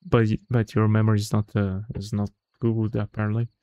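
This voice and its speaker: A man's voice: lowish voice, flat delivery, fairly quick, warm tone, dry recording. A man livestreaming a visual novel read-aloud in a casual, chatty way, often stumbling over words and correcting himself.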